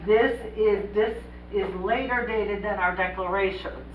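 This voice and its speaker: A woman board member with a loud voice, blunt and indignant, recorded from far off in an echoey room. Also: medium pitch, a little slow, phone-quality sound, slight background noise. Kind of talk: heated meeting discussion and reading aloud. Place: community meeting room.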